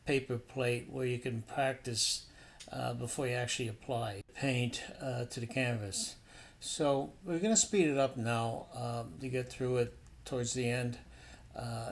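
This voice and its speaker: A man's voice: mid-range voice, slight background noise. A man narrating a painting demonstration as an instructor, in an informal, explanatory and somewhat hesitant way.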